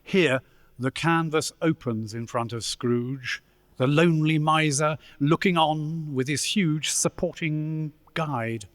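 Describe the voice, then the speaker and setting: A man playing a storyteller, narrating a Victorian tale with theatrical, deliberate delivery in an echoey church. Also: on the high side, a bit bright. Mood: measured. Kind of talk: dramatic scripted narration. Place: church, live performance. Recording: echoey church hall, long reverb.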